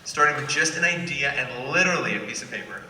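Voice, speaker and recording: A man reading a scripted, dramatic introduction for a speaker, in a polished and measured promotional delivery. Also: mid-range voice, lively intonation, bright, forceful tone. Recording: echoey playback in hall, distant, faint noise.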